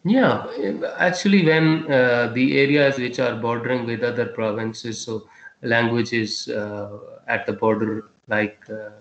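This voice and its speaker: A male teacher giving a class lesson, quizzing his students, with a halting delivery full of fillers and restarts. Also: medium pitch, steady tone, echoey room, lo-fi sound.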